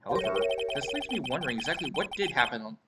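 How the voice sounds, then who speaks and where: A woman voicing a ponderous old male courtroom judge in a video game, formal and questioning, over loud game music. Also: rumbling low, very animated, rapid-fire, far from the mic. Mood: puzzled, formal.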